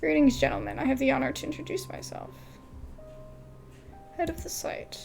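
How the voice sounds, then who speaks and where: A woman hosting a casual gaming video, reading game dialogue aloud haltingly and adding her own asides. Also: high-pitched, creaky, darkish tone, soft background music.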